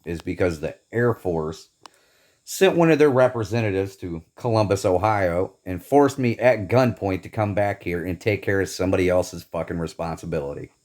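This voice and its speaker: A man's voice: low-pitched, normal speed, a little mellow. A man from Indiana delivering a bitter, profane, emphatic rant about his grievances. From Northeast Indiana, USA. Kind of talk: angry personal rant to camera.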